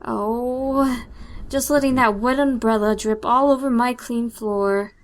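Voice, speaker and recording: Woman as a high-voiced fussy housekeeper. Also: steady tone, slow pace. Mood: exasperated. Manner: fussy, dramatic. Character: scolding, worried housekeeper, fearful and superstitious. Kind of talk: old-time radio drama acting.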